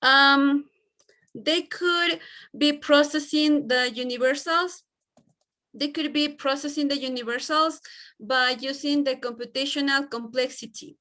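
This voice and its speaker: A woman's voice: high voice, steady tone, unhurried, sharp tone. A woman whose first language is Spanish, giving a class presentation in English with many fillers and restarts. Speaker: student presenter.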